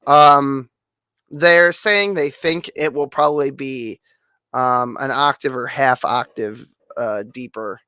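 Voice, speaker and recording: Nasal, hoarse man rambling casually. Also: medium pitch, slow, sharp tone, no room sound. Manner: rambling, swears casually, many fillers. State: hoarse, losing voice. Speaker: streamer.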